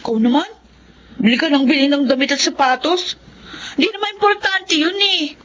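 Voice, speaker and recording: A woman's voice: high voice, normal speed, fairly bright tone. A woman playing a young, outspoken Filipino kid sister in a radio drama, chattering and scolding. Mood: sympathetic, scolding. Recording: dull lo-fi audio, clear background noise.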